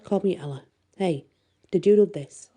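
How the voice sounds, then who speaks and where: Woman acting storybook teen heroine, candid, dramatic, chatty, dark mellow tone, faint sheepishness. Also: fairly low pitch, normal speed, breathy edge. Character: teenage girl heroine with magic, wry, candid and dramatic.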